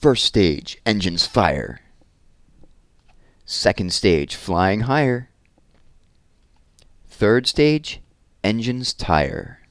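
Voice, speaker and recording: A man reading a children's story aloud as host, with an expressive, deliberate storyteller delivery. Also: fairly low pitch, dry recording, studio quality. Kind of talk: expressive children's picture book read-aloud with informal asides.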